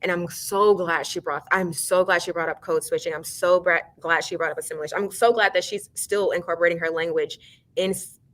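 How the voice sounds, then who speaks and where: A woman's voice: fairly low pitch, fairly even intonation, fairly quick, fairly bright tone. A young woman from New York, a student guest, answering in an enthusiastic, chatty storytelling way. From New York, USA. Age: young adult. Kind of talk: casual interview answers.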